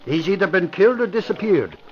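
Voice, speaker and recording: An actor playing an excitable, blunt, colloquial newspaperman sidekick in an old-time radio crime drama. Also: high voice, brisk. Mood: urgent. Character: excitable, plain-spoken male newspaper reporter sidekick. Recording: muffled old radio recording.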